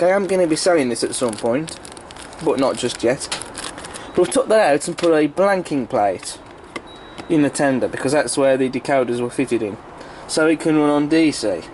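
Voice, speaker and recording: A British man with a croaky, congested voice from a cold and sore throat, giving a casual, rambling, explanatory review to camera as a hobby video reviewer. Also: fairly high pitch, darkish tone, slight background noise, low-quality recording. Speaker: model railway video reviewer.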